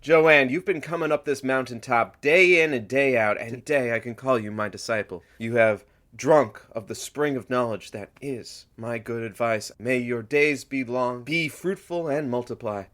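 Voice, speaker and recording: Actor as male radio sidekick, medium pitch, playful, mock-pompous banter, comedy drama. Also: normal speed, hardly any pauses, a bit bright, close to an everyday voice. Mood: mock-grand. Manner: teasing, theatrical, mock-pompous. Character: teasing, mock-grandiose male radio producer, playful mentor.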